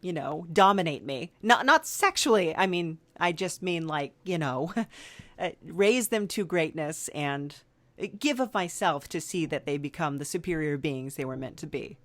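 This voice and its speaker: A woman hosting a comedy podcast, playing along with a halting, filler-heavy manner. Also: low voice, animated, fairly bright tone, no room sound. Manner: hesitant, many fillers, playing along with mock seriousness. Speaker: podcast host. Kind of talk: improvised comedy interview.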